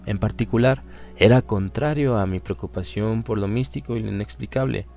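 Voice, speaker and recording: A man with a Latin American Spanish accent reading a literary horror story aloud in a measured, grave manner. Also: on the low side, gravelly, dark tone, faint music behind. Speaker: audiobook narrator. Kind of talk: scripted literary narration.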